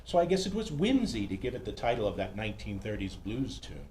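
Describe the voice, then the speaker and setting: Casting: male actor as fussy, affectionate arty sidekick in a radio mystery, theatrical, very animated delivery, noisy recording. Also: fairly low pitch, a little fast. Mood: knowing, ornate. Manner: theatrical, ornate, coy endearments. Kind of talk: old-time detective radio acting. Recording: roomy, noisy live stage recording.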